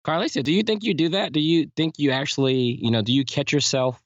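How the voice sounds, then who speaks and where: A man hosting a podcast, talking reflectively and at a measured pace, with many "you know" fillers. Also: on the high side, expressive, no echo, lo-fi sound. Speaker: podcast host. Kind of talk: casual panel chat.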